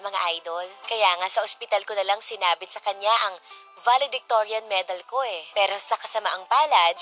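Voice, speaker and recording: A woman's voice: mid-range voice, sharp tone, lightly acted. A woman playing a devoted, protective 25-year-old daughter in a radio drama, speaking confidingly. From Philippines. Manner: confiding, addresses listeners directly. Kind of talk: Tagalog radio drama narration and acting.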